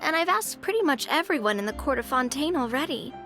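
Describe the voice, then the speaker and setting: A woman's voice: sits high, animated, fast talker, fairly bright tone. A woman voicing a bubbly, friendly young lady in a video game, eager and exclamatory. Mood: weary, chatty. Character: bubbly, energetic young female organizer, friendly. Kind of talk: video game character dialogue. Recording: music playing behind the voice.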